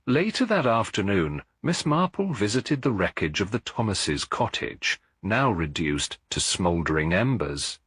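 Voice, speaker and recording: A man's voice: fairly low pitch, big pitch swings, measured pace, few pauses, a bit bright, husky, no room sound, clean studio sound. A man reading a scripted detective mystery as an audiobook narrator.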